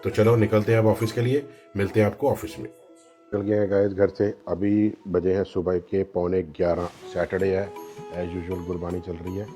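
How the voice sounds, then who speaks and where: A man's voice: low voice, flat delivery, normal speed. A man with a sore throat and a cold chatting casually to his viewers as he narrates his day. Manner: chatty, addresses viewers directly. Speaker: vlogger. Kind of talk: casual daily vlog narration. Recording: music playing loudly behind.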